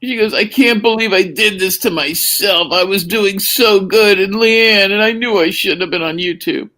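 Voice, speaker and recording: A man with a high, bright voice chats casually on a livestream. He prods and teases, telling little stories and quoting people as he goes. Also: moderate pace. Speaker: livestream show participant. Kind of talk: casual chat, storytelling.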